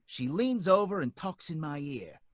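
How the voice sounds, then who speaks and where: A man's voice: a little high, big pitch swings, moderate pace, dry recording, studio quality. An actor playing a nervous working-class cab driver who narrates his own story, rambling in folksy street talk. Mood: scared. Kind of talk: radio drama acting.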